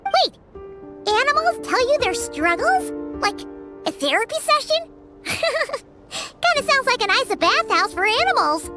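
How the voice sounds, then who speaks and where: A woman voicing a tiny, childlike fairy companion in a video game, squeaky, excitable and blunt. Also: unhurried, full character voice. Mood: surprised, amused. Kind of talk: video game character dialogue. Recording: studio voice under prominent music.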